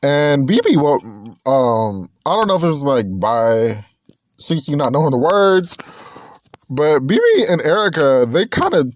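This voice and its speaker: A man's voice: a little high, very animated, a little slow, no room sound. A man in his twenties hosting a casual solo podcast, chatty and rambling, correcting himself as he goes and breaking into snatches of songs. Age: 20s. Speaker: podcast host.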